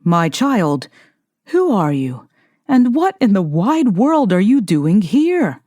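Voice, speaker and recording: A woman voicing a kindly, courteous king in a storybook, gentle and formal. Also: medium pitch, sing-song, slow. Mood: concerned.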